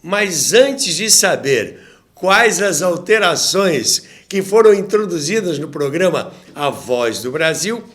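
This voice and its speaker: Slow, expressive Brazilian man narrating. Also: fairly high pitch. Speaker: host and narrator of a radio history show. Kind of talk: scripted documentary narration with conversational asides.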